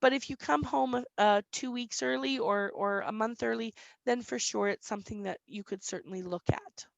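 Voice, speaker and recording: A woman insurance broker answering questions in a webinar, explaining plainly with frequent "um" and a slightly squeaky voice. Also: normal speed, creaky, dry recording, dull audio.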